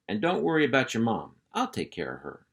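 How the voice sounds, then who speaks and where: A man's voice: medium pitch, wildly swooping pitch, a little fast, vocal fry. A man voicing a boastful, self-important male cow dog who bosses a pack of pups, with a folksy drawl. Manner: folksy drawl, bossy and blustering.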